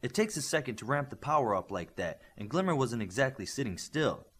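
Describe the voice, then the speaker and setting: A man's voice: medium pitch, sing-song, fairly quick. A man voicing a confident young superheroine in audiobook dialogue, cool, daring and matter-of-fact.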